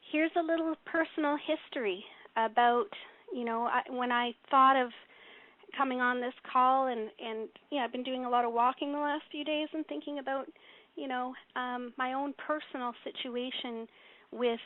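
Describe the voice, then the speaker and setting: A woman's voice: on the high side, dry recording. A woman in her forties with a young-sounding voice, giving an earnest, casual talk on a call, with many fillers and restarts. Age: 40s. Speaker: speaker on a teleconference call. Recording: tinny phone line.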